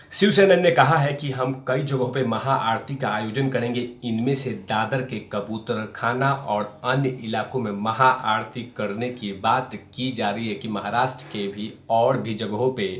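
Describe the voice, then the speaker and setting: A man hosting a political news commentary, speaking emphatically and repeating phrases for effect. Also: fairly low pitch. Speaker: host of a political commentary show. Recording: roomy, echoing sound.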